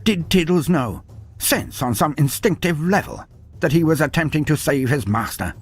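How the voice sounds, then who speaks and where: A man's voice: on the high side, expressive, normal speed, slightly rough, soft background music. A man narrating a scripted story in a dramatic, mock-grandiose manner.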